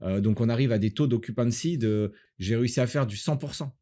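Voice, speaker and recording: A man's voice: mid-range voice, fairly quick, warm tone. A man from the south of France hosting a casual podcast as a property agent, explaining things by asking and answering his own questions. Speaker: host, real estate agent.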